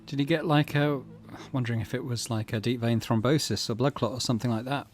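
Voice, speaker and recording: A man's voice: medium pitch, steady tone, fast, a little hiss or hum. A man hosting a gaming channel, giving casual let's play commentary and reading game text aloud.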